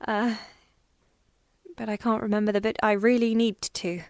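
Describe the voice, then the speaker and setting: A woman playing a frightened, weary young plane-crash survivor in an audio drama monologue, confiding in a halting, plain-spoken, wryly understated way. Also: medium pitch, flat delivery, no room sound, clean studio sound, natural voice. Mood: weary, practical. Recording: clearly noisy background.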